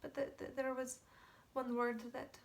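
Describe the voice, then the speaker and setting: A woman acting a guilt-ridden, fragile Polish woman in a dramatic monologue, with a Polish accent and halting, stammering speech that often drops to whispers. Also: sits high, level tone, vocal fry, distant mic. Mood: bitter, confessional. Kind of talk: dramatic film monologue, amateur.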